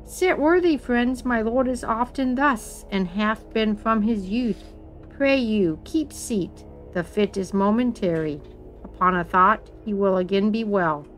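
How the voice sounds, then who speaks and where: A woman playing a commanding, scornful queen in a Shakespearean dramatic reading, in deliberate, declamatory verse. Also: medium pitch, faint music behind, close to an everyday voice, rough recording. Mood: composed, reassuring. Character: commanding, scornful queen covering for her husband. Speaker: amateur actor in a library's dramatic reading.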